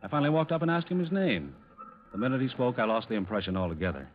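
An actor playing an earnest, methodical American insurance executive, explaining formally and deliberately in 1950s radio drama style. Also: male voice, mid-range voice, big pitch swings, lightly acted. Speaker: actor in a detective series. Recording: dull old radio recording.

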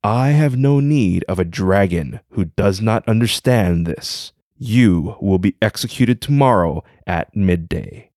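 A man's voice: fairly low pitch, lively intonation, measured pace, few pauses, darkish tone, no echo, clean studio sound, pitched below the performer's own voice. A man doing a stern heavenly emperor. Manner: cold and commanding.

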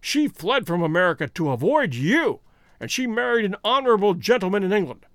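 A masterful storybook detective, voiced by a male narrator, composed and stern. He speaks precisely and with command, in a high, expressive voice, recorded dry. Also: normal speed, no echo.

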